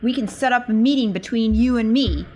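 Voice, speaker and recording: A woman's voice: a little high, a little fast, darkish tone. A woman chatting casually as a player in a tabletop role-playing game.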